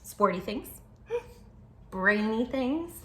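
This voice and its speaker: A woman's voice: fairly high pitch, expressive, drawn-out words, fairly bright tone. A woman hosting a children's storytime, reading aloud expressively with dramatic emphasis and speaking directly to young listeners. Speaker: children's book read-aloud host. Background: music.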